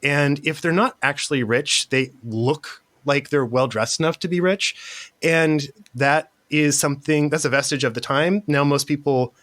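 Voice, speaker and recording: A man's voice: a little high, normal speed, a bit bright. A man from Central California, a guest on a podcast, explaining his points in a frank, casual way. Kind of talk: casual chat.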